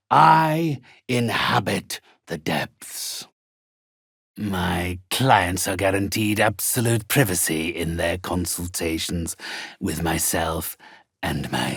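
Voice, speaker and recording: A sinister undead necromancer for a video game, deep and very slow. He speaks with pompous, coldly polite menace, his gravelly voice husky and close. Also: a bit bright, no room sound, heavily acted. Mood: smug, musing. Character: sinister, haughty undead male necromancer trading in spirits. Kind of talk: scripted video game character acting.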